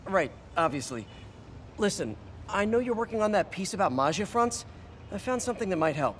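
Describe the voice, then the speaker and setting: An actor playing a young, wisecracking, earnest American superhero in a video game, tossing out quippy asides. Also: male voice, high-pitched, very animated, fairly quick, fairly bright tone, slight background noise. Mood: friendly, eager. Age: young adult. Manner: quippy, self-talking.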